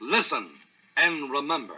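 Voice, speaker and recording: A man's voice: fairly high pitch, lively intonation, measured pace, very noisy. An American man narrating a scripted 1950s promotional record in an earnest, dramatic, formal announcer's manner.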